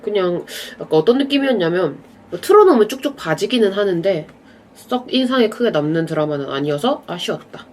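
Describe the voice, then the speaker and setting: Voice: mid-range voice, brisk. Young vlogger w a bit of a cold, stuffy nasal voice, just rambling chill about what they watched, faint hiss behind. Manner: relaxed, chatty, drifting off into asides. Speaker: vlogger reviewing films and dramas. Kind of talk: casual rambling chat to camera.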